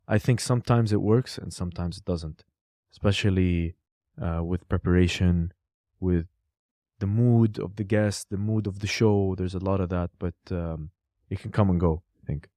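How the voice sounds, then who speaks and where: Male podcast co-host, very low and warm-toned, casual and loose, fillers everywhere. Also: moderate pace, no room sound, clean studio sound. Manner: relaxed, peppered with "like" and "you know".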